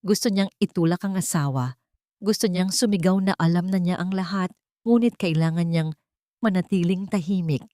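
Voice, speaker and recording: A Filipino woman narrates a dramatic story in a slightly low voice. Her delivery is measured and solemn. The recording is very dry, with no room sound. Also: mellow, no room sound.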